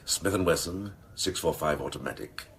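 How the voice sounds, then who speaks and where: Gravelly, very deep-voiced scheming police chief. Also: male voice, normal speed, fairly bright tone, heavily acted. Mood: sly, sardonic. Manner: theatrical, sardonic, relishing his words. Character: scheming, gleefully cynical male senior police officer. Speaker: actor in a radio drama. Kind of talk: radio drama dialogue.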